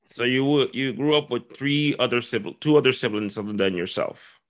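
A man hosting a podcast, asking questions casually with quick intros and frequent stumbling restarts. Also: mid-range voice, fairly bright tone. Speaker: podcast host. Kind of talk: casual interview chat.

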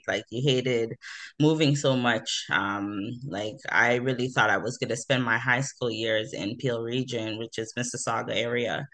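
Bright-voiced woman guest. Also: rumbling low. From Toronto, Canada. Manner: chatty, laughs often, many fillers. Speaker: guest, hairstylist. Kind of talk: casual interview chat.

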